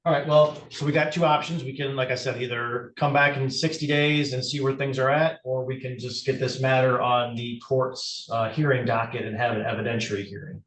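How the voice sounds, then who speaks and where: A man's voice: medium pitch, little pitch movement, fairly quick, few pauses, fairly bright tone, slight background noise. A middle-aged man presiding as judge, talking procedurally in a courtroom on a video call. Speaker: judge presiding over a family court hearing. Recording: roomy, distant courtroom microphone on video call.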